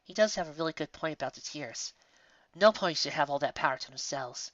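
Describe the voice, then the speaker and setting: A woman's voice: low voice, lively intonation, a little fast, a bit bright, no echo, highs cut off. A woman voicing a thoughtful, studious young female unicorn in a storybook reading, earnest and explanatory. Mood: earnest, pensive.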